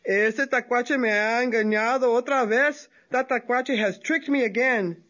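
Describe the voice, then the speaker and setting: A woman's voice: fairly high pitch, measured pace, a bit bright. A woman voicing a hungry, gullible, hot-tempered male coyote who grumbles and threatens. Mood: grumbling. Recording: dull lo-fi recording.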